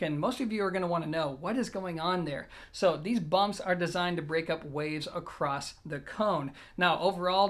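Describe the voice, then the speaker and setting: A video host presenting an audio gear review in a semi-scripted, informal way, addressing viewers directly and leaning on the opening words of each point. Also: mid-range voice.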